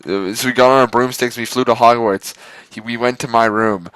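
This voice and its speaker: A man's voice: medium pitch, steady tone, fairly quick, a bit bright, clear, resonant tone, no echo, poor audio. Amused man reading aloud, dry close sound. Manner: amused, exasperated, stumbling over misspellings. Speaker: amateur reader of fan fiction. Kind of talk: mock-dramatic reading with ad-libbed asides.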